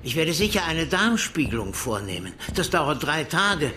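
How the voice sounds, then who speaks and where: An actor playing a self-assured senior hospital doctor in a radio crime drama, brisk and calmly defiant. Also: male voice, fairly high pitch, background noise. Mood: firm, unimpressed. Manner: brisk, authoritative, unimpressed. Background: music behind the voice.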